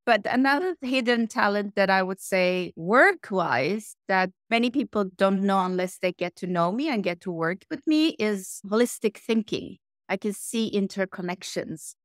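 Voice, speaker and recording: A middle-aged woman from Iran, raised in Norway, talks through interview questions with a smooth, resonant voice. She sounds lively and thoughtful and lists examples one after another. The recording is dry and close. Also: medium pitch, normal speed, hardly any pauses, no room sound. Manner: lively and reflective, lists things in runs. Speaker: interview guest, tech executive. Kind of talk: casual interview answers.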